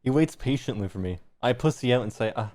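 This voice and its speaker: A man's voice: mid-range voice, animated, quick pace, darkish tone. A man streaming, reading fan fiction aloud with some embarrassed amusement.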